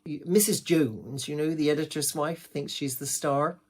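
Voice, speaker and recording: A man's voice: a little high, a little slow. A man acting a gossipy village pantomime pianist. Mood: gossipy, confiding. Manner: confiding, rambling, gossipy, with malapropisms. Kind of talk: theatrical comic monologue.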